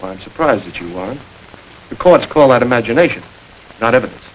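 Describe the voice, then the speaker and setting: An actor playing a smug, suave gang boss. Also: male voice, medium pitch, animated, fast talker, muffled recording, slight background noise, lightly acted. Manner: smooth, mocking, unruffled. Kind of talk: 1940s film acting.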